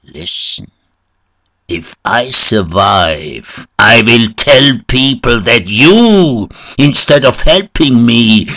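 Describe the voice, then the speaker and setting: A man voicing a cunning, proud, wounded Satan in a story, pleading in drawn-out, commanding phrases. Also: sits low, gravelly, sharp tone, no echo, full character voice. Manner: slow, drawn-out, commanding pleas.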